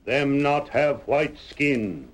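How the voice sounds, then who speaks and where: Slow, solemn old chief. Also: male voice, medium pitch, animated. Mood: defiant, stern. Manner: halting broken English, terse and solemn. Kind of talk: 1940s radio acting. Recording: noisy vintage radio recording.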